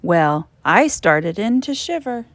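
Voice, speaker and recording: A woman teacher reading a storybook aloud to children, expressively, with dramatic emphasis and suspenseful drawn-out phrases. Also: mid-range voice, big pitch swings, dry recording, studio quality.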